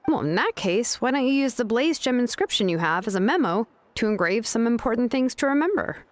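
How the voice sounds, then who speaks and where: A woman voicing a calm, sensible adult woman in a fantasy video game, talking in a measured, gently wry way. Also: on the high side, no echo, close to an everyday voice.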